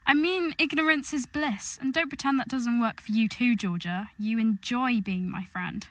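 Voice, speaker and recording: A woman's voice: fairly high pitch, normal speed, a bit bright, natural voice. A woman playing a defiant, earnest eighteen-year-old rich girl in an audio drama. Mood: urgent, earnest.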